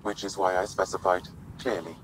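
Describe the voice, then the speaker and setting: A composed artificial intelligence from a video game, reports in a fairly low voice. The delivery is measured, formal and exact, over noticeable ambient noise. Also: male voice, steady tone, fairly bright tone, poor audio. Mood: calm, clinical. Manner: measured, formal, matter-of-fact. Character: calm, precise male artificial intelligence companion.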